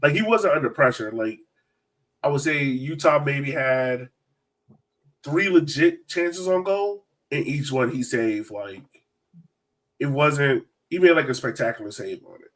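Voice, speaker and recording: A man's voice: medium pitch, animated, a little slow, fairly bright tone. A man hosting a casual sports chat on a podcast. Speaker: podcast host. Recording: voice distant from the mic.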